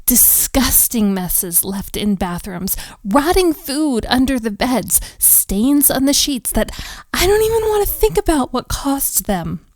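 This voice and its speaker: An overworked, sharp-tongued hotel maid played by a woman in an intimate romantic audio drama. Her voice sits a little high and she speaks slowly, with exasperated sighs and defensive sarcasm. The sound is dry, with no room around it. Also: big pitch swings, no room sound. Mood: bitter, scornful. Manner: exasperated, sighing, sarcastic, conversational.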